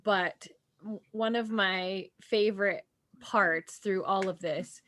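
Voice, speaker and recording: A woman's voice: mid-range voice, fairly even intonation, takes it slowly, fairly bright tone. A woman on a podcast chatting casually with friends in an informal, gushing manner. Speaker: podcast co-host. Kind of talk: casual roundtable chat.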